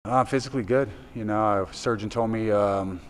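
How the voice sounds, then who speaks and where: A man's voice: lowish voice, moderate pace. A male fighter giving casual, plain-spoken and upbeat answers in an interview. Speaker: professional fighter being interviewed. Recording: reverberant hall with faint noise.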